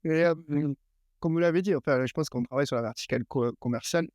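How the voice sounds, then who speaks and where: A man's voice: fairly high pitch, quick pace, darkish tone, no room sound, clean studio sound. A man asking questions and telling a story in a casual improvised interview, hesitant and rambling with fillers.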